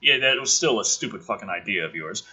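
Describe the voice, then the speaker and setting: A man's voice: on the low side, expressive, fast, bright, forceful tone, rough recording. A man reading a script aloud with sarcastic, exasperated, foul-mouthed commentary as the host.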